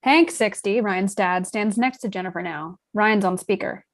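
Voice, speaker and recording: A woman's voice: mid-range voice, moderate pace. Woman reading screenplay directions, mid-range voice. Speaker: stage-direction narrator. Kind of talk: scripted screenplay narration.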